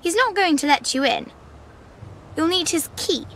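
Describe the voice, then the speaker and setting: A woman's voice: very high-pitched, lively intonation, unhurried, a bit bright, a little hiss or hum, heavily acted. A woman playing a knowing, chiding young daughter in a video game. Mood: teasing, chiding. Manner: sardonic, chiding. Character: sharp-tongued imagined young daughter, knowing and reproachful. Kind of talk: video game character acting.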